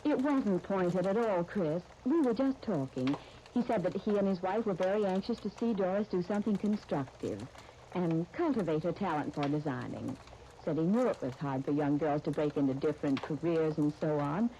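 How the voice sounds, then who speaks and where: An old, faintly hissy radio broadcast from 1939 carries a soft, mellow heroine. She is a tactful young businesswoman in a soap opera, earnest and reasonable, gently persuading in polite period radio style. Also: fairly low pitch, expressive, normal speed, dull audio. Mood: earnest, recounting. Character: Helen, earnest, tactful young dress designer and shop partner.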